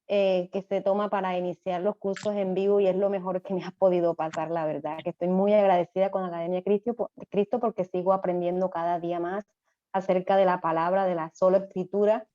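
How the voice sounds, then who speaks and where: A woman's voice: lowish voice, fairly even intonation, a little fast, highs cut off. A woman student introducing herself over a video call, earnest and grateful, with some fillers. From Colombia.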